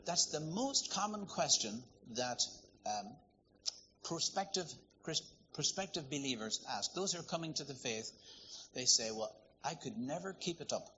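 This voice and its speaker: A man from Ireland, preaching a sermon in a lively, conversational, storytelling way. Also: a little high, measured pace, dull audio, a little hiss or hum. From Northern Ireland.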